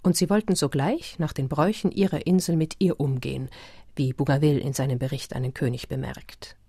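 Female narrator for literary radio drama: deep register, dark tone, measured and composed. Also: steady tone, breathy edge, no room sound, clean studio sound.

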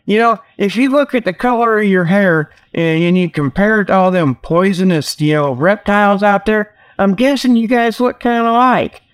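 A man hosting a comedy show, giving a rambling, folksy monologue full of mock sales pitches. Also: normal speed, hardly any pauses, clean tone. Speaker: host of a comedy talk show.

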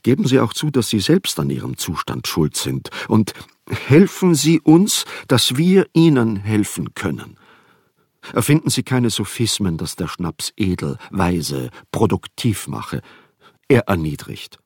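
A man's voice: on the low side, big pitch swings, unhurried, darkish tone, breathy edge, no echo, clean studio sound, full character voice. An actor playing a caring, cultivated literary friend who reads his letters emphatically and articulately in a radio play. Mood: urgent, admonishing.

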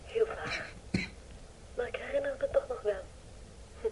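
Mellow, composed young woman. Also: sits high, moderate pace, poor audio. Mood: reserved, gentle. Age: young adult. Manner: quiet, reserved, questioning. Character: composed, guarded young woman, probing gently. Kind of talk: radio drama acting. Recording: radio play with clear background noise.

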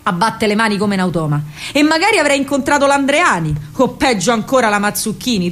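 A woman's voice: on the high side, natural voice. An actress playing a spirited, rebellious young working-class Roman woman, telling her story colloquially in a theatrical radio monologue with a Roman accent. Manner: colloquial, vivid, ironic storytelling.

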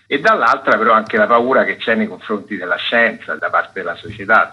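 A man, a festival curator, answering interview questions on a radio program in a relaxed, meandering way. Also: fairly low pitch, husky, poor audio. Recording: radio broadcast.

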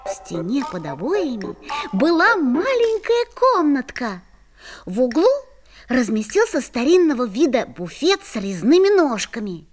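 A young boy tells a magical tale in a children's audio play. The voice is high and very animated, full of wonder, with light music behind it. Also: a little slow. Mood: wondering, hushed. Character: young boy narrating his magical adventures, wide-eyed.

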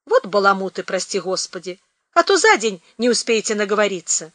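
A woman's voice: medium pitch, big pitch swings, fairly quick, fairly bright tone, no room sound, studio quality. A woman voicing a grumbling village housewife with a whiny, scolding manner.

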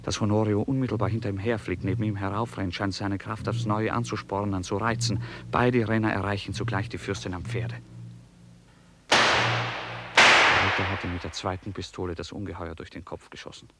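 Low-voiced male radio drama narrator. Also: little pitch movement, moderate pace, pauses often, background noise.

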